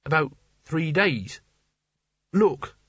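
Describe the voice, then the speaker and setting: Guy doing naive teen boy in a fantasy audiobook, high voice, indignant n earnest, bit husky. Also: animated, very slow, a bit bright, no echo, muffled recording, exaggerated voice. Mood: nervous, hesitant. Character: naive, earnest, indignant teenage boy, would-be barbarian hero.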